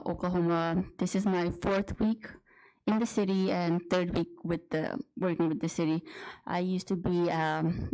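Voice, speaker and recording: A young city planner from Nepal introduces herself at a meeting. She speaks hesitantly, with many fillers, in a fairly low voice. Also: darkish tone, low-quality recording.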